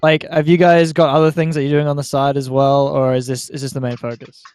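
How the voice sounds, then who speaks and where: A man's voice: mid-range voice, level tone, brisk, a little mellow, clean tone, no room sound, clean studio sound. A man with an Australian accent hosting a podcast in a laid-back, slangy, chatty interview style. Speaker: podcast host. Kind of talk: casual interview banter.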